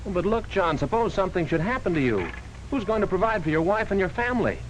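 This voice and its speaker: A man's voice: sits high, sing-song, quick pace. An actor in a 1940s film playing an earnest small-town male insurance salesman, folksy, patient and persuasive. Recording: noisy 1940s film soundtrack.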